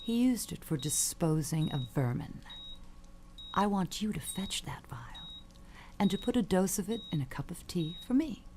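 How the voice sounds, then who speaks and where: A woman's voice: fairly low pitch, expressive, moderate pace, background noise, lightly acted. An actress playing an elderly, dying perfume mogul, an imperious and cutting mother with genteel, falsely sweet manners. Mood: quietly scheming. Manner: genteel, commanding, condescending endearments. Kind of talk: audio suspense drama acting.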